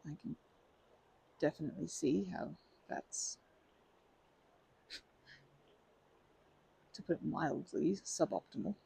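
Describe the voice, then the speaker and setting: A woman playing a timid, kind young woman in a comforting ASMR roleplay, with a creaky voice and a hesitant, stammering, apologetic way of talking. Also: sits low, normal speed, mellow, slight background noise, rough recording. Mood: sympathetic, hesitant.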